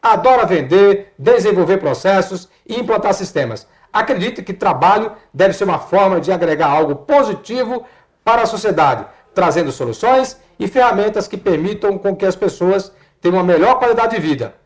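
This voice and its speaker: A man's voice: high voice, lively intonation, normal speed. A man from Bahia, Brazil, hosting a live interview show in a chatty, colloquial way, speaking straight to viewers. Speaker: TV show host.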